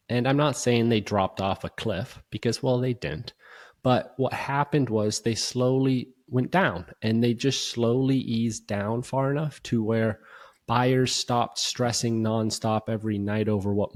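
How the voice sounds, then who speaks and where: Slightly hoarse man hosting a real estate show. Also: on the low side, darkish tone. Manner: conversational, filler-heavy, repeats himself. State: slightly hoarse from recent illness. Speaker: host. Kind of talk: casual explainer monologue.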